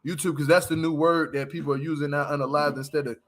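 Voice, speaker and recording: A man's voice: on the high side, little pitch movement, quick pace. A man giving casual, opinionated livestream commentary as host, talking in an emphatic, repetitive, slangy way.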